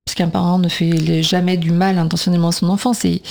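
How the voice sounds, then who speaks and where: A woman's voice: fairly low pitch, little pitch movement, quick pace, creaky, a little mellow. A woman talking conversationally as a radio guest, emphatic and talkative, often voicing what other people say. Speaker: radio guest, preschool teacher and mother.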